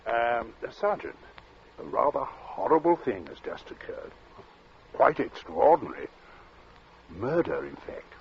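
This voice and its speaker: An actor playing a genial, smoothly dissembling middle-aged gentleman in an old radio drama, hemming affably. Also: medium pitch, sing-song, unhurried, pauses often, heavily acted. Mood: nervous, hesitant. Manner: affable, hemming, affectedly casual. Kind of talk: golden age radio drama acting. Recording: dull, hissy old radio recording.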